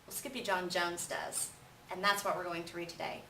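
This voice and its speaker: A woman reading a children's story aloud in an animated way, pausing to put questions to young listeners. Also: lowish voice. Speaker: storybook reader. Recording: roomy, distant mic with faint noise.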